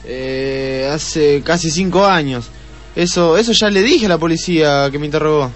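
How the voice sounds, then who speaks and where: An actor playing a nervous, defensive husband under questioning in a radio play, hesitant and stammering. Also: male voice, on the high side, normal speed, faint music behind, lightly acted. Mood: puzzled, uneasy. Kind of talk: Spanish-language radio play acting.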